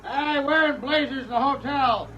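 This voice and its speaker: An actor playing a loud, blustering, wisecracking American film director in a 1950s radio drama. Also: male voice, very high voice, takes it slowly, over loud music. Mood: irate, bellowing. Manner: blustering, slangy, complaining. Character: brash, loud, wisecracking Hollywood film director, fat man. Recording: dull, lightly noisy vintage radio recording.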